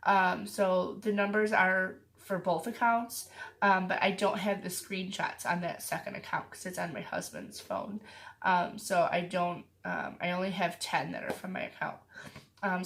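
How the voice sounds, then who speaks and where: A woman who is getting over a cold, with a raspy voice, chatting casually to her viewers about her weekly sales and filling her talk with frequent ums. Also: on the low side, moderate pace, a bit bright. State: sick, with a cough. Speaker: reseller vlogger. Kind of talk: casual chat to camera.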